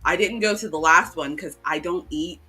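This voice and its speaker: Rambling, chatty woman co-host, bright voice. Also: fairly low pitch, normal speed, slightly rough. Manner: rambling, chatty, many ums and restarts. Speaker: livestream co-host. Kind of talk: casual live chat. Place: cool room at home. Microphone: home desk microphone.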